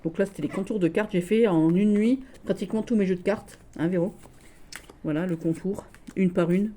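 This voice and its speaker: A woman with a Lorraine accent, showing tarot decks in a casual video, gushing and halting with many restarts. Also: mid-range voice, mellow, slight background noise. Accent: Lorraine French. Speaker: tarot deck reviewer. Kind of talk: casual tarot deck showcase.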